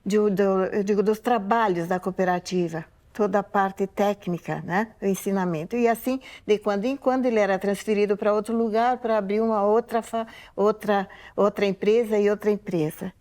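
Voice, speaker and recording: A woman's voice: medium pitch, steady tone, moderate pace, hardly any pauses, darkish tone. A woman in her eighties from Poland, giving a reflective, unscripted spoken testimony and often checking in with tag questions. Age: 80s. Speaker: museum oral-history interviewee.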